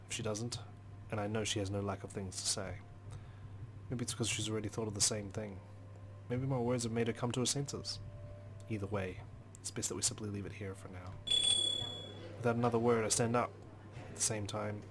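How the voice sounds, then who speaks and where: A man's voice: fairly low pitch, a little fast, background noise. A man hosting a let's play, reading a visual novel's story aloud as dramatic, brooding narration.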